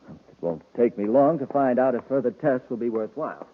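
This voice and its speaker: A man acting as a shifty inventor in a vintage radio crime adventure. He is nervous and evasive, with a very animated mid-pitched voice on a muffled, narrow recording. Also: a little fast, a little hiss or hum, natural voice. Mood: uneasy, evasive. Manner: evasive, hesitant, overly polite. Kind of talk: 1950s radio serial acting.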